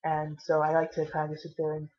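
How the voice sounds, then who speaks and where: A tired, caffeinated woman vlogging casually with a low voice, rambling and swearing now and then. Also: completely flat delivery, fast, dull audio.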